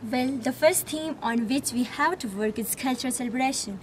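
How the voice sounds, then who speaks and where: A woman's voice: high-pitched, normal speed, a little hiss or hum, low-quality recording. A teenage girl formally addressing an audience outdoors while presenting a school theme. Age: teens. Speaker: student presenter. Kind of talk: recited presentation. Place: outdoors on a mountain terrace.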